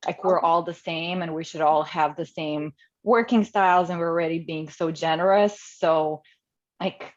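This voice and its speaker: A woman's voice: on the low side, moderate pace. A woman from Croatia on a video call, asking a thoughtful question in a hedging way, with many fillers. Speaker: webinar attendee. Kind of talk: casual question from the audience. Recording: muffled lo-fi video call audio.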